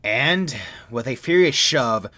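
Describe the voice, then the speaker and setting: A young adult man reading a scripted creepypasta in a measured, serious storytelling manner. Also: mid-range voice, expressive, pushed and bright. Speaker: narrator.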